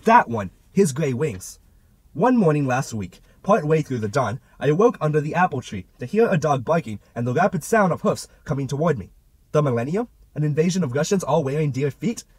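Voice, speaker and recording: A man's voice: on the high side, sing-song, a little fast, darkish tone, slightly rough, no room sound. A man narrating a literary novel as an amateur audiobook reader, with a theatrical delivery.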